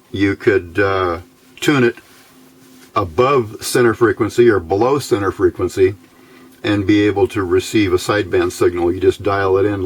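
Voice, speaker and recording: Male hobbyist demonstrator, low voice, very unhurried delivery, folksy and methodical, informal technical walkthrough. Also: slight background noise. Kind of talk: informal hands-on technical explanation.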